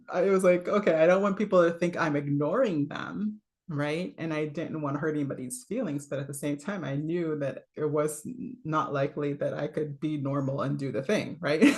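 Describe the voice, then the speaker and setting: A woman coach with a low voice, giving a casual, chatty talk full of fillers, little laughs and "right?". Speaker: voice and leadership coach.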